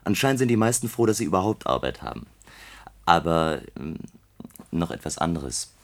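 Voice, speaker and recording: An actor playing a thoughtful, guarded corporate colleague in a science-fiction radio play, speaking in a measured, hesitant way. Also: male voice, low-pitched, very animated. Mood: guarded, pensive.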